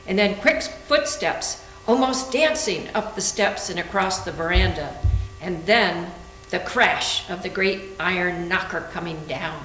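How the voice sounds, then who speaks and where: A woman's voice: medium pitch, fairly bright tone. A woman narrating a literary story as a scripted staged reading. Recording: echoing room, faint noise.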